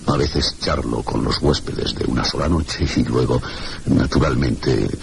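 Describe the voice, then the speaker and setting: A man's voice: a bass voice, a little slow, gravelly, heavily acted. A man playing a cultured, inquisitive village schoolteacher in a radio mystery drama, with formal, hesitant courtesy and a Castilian accent. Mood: confiding, hesitant. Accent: Castilian Spanish. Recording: noisy, gritty old radio recording.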